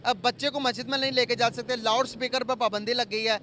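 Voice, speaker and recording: A man conducting a casual street interview in a halting, conversational way. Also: extremely high pitch, fairly even intonation, pushed and bright. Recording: street noise with vehicles. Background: outdoor traffic.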